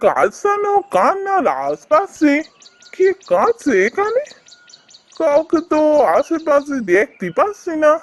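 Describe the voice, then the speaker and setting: A woman's voice: high voice, big pitch swings, a little slow. A woman playing a kind, earnest, hard-working village husband in a fairy-tale cartoon. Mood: puzzled. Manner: earnest, caring. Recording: music behind the voice.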